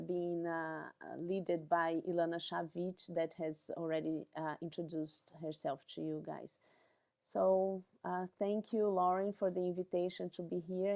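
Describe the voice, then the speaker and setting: A woman from northeast Brazil, introducing herself on a video call in a measured way, pausing often on fillers. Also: lowish voice, vocal fry, warm tone. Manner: measured, with frequent pauses and fillers. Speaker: researcher and trainee.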